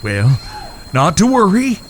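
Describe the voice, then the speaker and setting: A man playing an earnest, courteous cowboy suitor in a western audio drama, with halting, old-fashioned, courtly phrasing. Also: high-pitched, huge pitch swings, a little hiss or hum, full character voice. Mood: tense, urgent.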